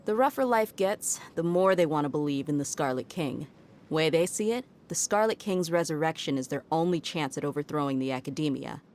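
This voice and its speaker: A woman's voice: sits low, expressive, brisk, a little mellow, slight background noise. A woman voicing a confident, streetwise female mercenary in a video game, casual and self-assured, with music behind. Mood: knowing. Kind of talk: game character voice acting.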